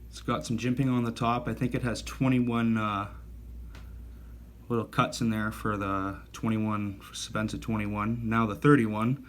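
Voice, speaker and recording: A man's voice: fairly low pitch, flat delivery, measured pace, slight background noise. A man in his thirties giving a casual gear review, talking in a rambling, self-correcting and enthusiastic way. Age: 30s. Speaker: knife reviewer.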